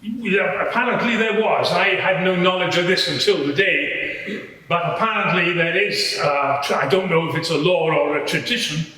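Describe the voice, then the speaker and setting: A man playing a matter-of-fact Roman soldier giving measured, explanatory testimony at a dramatised courtroom inquiry. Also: sits high, pushed and bright. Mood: earnest, disapproving. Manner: measured, explanatory, slightly hesitant. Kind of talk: dramatised courtroom testimony before a live audience. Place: large courtroom in a public building. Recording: very echoey large hall.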